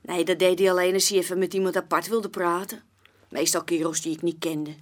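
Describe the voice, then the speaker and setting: An actress playing a young, plain-spoken barmaid giving witness testimony in a chatty, colloquial way in a radio play. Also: female voice, on the low side, little pitch movement, brisk, natural voice. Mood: confiding. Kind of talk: naturalistic radio play dialogue.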